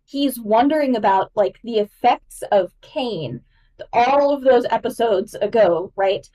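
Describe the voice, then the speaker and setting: A woman's voice: a little high, animated, unhurried, mellow, far from the mic, low-quality recording. A woman giving enthusiastic, emphatic literary analysis as a podcast guest, casual and nerdy in her delivery.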